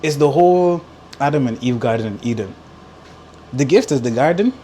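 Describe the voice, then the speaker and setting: A man hosting a relaxed podcast conversation, with Igbo as his first language. He talks in loose, animated bursts, leaning on fillers and restarting phrases. Behind him the recording carries a steady hiss and the hum of an air conditioner. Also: medium pitch, normal speed. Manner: animated, talks with filler likes and repeats words. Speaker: podcast host. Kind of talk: casual podcast chat.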